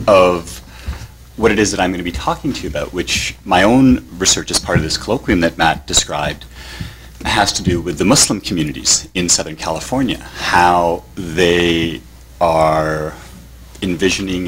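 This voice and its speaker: A man with a Canadian accent giving a measured academic conference talk, with pauses. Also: deep voice, background noise. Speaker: panelist. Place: conference hall.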